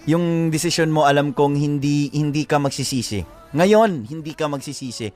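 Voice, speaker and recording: A man's voice: on the high side, moderate pace, a little mellow, faint music behind. A Filipino man co-hosting a live show, chatting casually and giving earnest love advice, repeating phrases for emphasis. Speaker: radio show co-host giving advice to letter senders.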